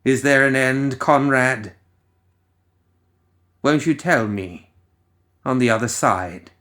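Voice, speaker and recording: A man's voice: mid-range voice, slow, fairly bright tone. A man playing an ancient, cursed necromancer villain in an audio story, theatrical and rambling, with coaxing menace. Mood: cold, brooding. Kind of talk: dramatic audio story monologue.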